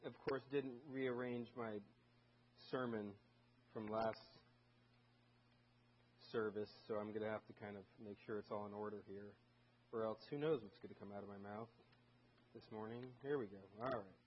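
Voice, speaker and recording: A man's voice: on the low side, fairly even intonation, moderate pace, long pauses, lo-fi sound, slight background noise. A man who grew up in Germany, a guest preacher giving a casual, conversational sermon full of stories and self-deprecating asides. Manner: chatty, self-deprecating, many restarts and fillers.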